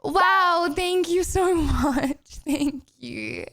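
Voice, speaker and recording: High pitched girl streaming at her desk, excitable, says bro a lot. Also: very slow, gravelly. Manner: excitable, laughy, slangy with lots of "bro" and "okay". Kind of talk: live stream chat, thanking viewers.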